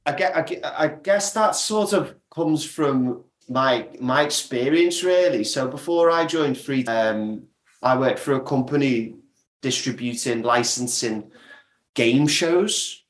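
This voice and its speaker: A man's voice: medium pitch, takes it slowly. A man speaking casually as a guest in a podcast interview, with a roomy, echoey sound around the voice. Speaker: podcast guest, audio drama maker.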